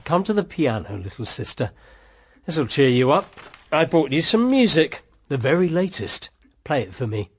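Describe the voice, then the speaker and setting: An actor with a British accent playing a hearty, possessive husband with an emphatic, commanding way of talking, in radio drama style. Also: male voice, a little high, big pitch swings, normal speed, slightly rough. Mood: coaxing. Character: hearty, possessive husband protecting his sister-in-law.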